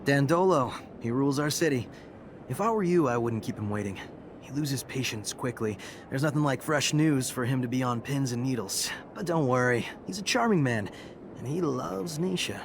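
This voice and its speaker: An actor playing a genial, intrigued man welcoming people to his city in a video game, expressive and smooth-talking. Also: medium pitch, normal speed. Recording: game dialogue over clear ambient noise.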